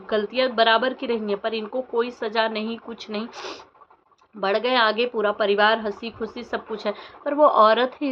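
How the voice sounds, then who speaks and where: A woman's voice: on the high side, muffled recording, a little hiss or hum. A woman vlogger giving opinionated commentary to her viewers in an insistent way, with pointed rhetorical questions. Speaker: vlogger commenting on social media controversy.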